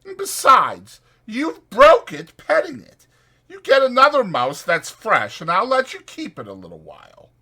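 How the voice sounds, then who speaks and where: A man's voice: high voice, wildly swooping pitch, unhurried, pushed and bright, pitched above the performer's own voice, exaggerated voice. A man voicing an impatient, bossy but caring ranch hand who talks in a brusque rural American vernacular in an audiobook. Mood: softening.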